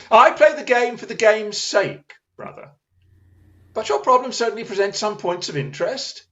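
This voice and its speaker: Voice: mid-range voice, sing-song, unhurried, long pauses, bright, forceful tone. A performer playing a keen, incisive male detective in a radio drama, musing theatrically, on a muffled recording. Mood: cool, amused.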